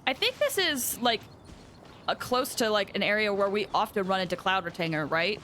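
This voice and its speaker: A woman game streamer chatting casually with her viewers in an excitable, chatty manner. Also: mid-range voice, moderate pace, slight background noise. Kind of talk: casual livestream chat with viewers.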